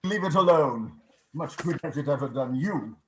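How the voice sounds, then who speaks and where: Give this part to a man acting a bitter old miser in a radio play. His voice sits fairly high and swoops widely as he snaps and declaims with open scorn. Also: animated, moderate pace, close to an everyday voice. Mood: dismissive, irritated. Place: remote video call reading.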